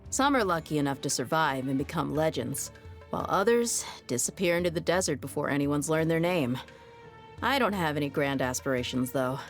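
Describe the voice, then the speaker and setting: A woman's voice: low voice, lively intonation, brisk, soft background music. An actress voicing a tough but easygoing young desert mercenary woman in a video game, with a casual, frank manner. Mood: earnest. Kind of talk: video game character voice lines.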